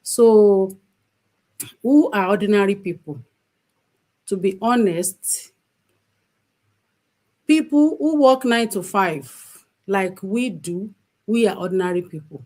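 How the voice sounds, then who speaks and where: A woman's voice: medium pitch, lively intonation, slow pace, pauses often, warm tone. A woman from Nigeria, hosting a live stream and giving an earnest motivational talk with frequent hesitant fillers. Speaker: co-host and business mentor.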